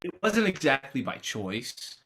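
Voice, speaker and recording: A man's voice: mid-range voice, sing-song, a little slow, fairly bright tone, slightly rough, full character voice. A man playing an awkward, kindly teenage boy, hesitant and placating, in a comic stage play reading.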